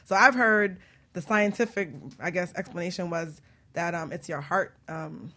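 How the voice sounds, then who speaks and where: Animated woman chatting casually on air. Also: lowish voice, moderate pace, a little hiss or hum. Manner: animated, informal, teasing banter. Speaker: talk show host. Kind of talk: casual personal storytelling and chat.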